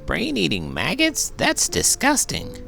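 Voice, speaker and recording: A man voicing an excitable, cheeky little bookworm from a children's storybook, exclaiming in a silly, incredulous way. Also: a little high, unhurried, gravelly, faint music behind, voice pushed up, exaggerated voice. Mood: disgusted. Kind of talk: cartoonish children's storybook character voice.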